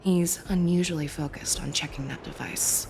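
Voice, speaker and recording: An actress playing a shrewd, measured woman general who reasons through clues, in a game cutscene. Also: on the low side, steady tone, vocal fry, noisy background. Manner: analytical, measured. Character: shrewd, composed woman military leader. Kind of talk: video game cutscene voice acting.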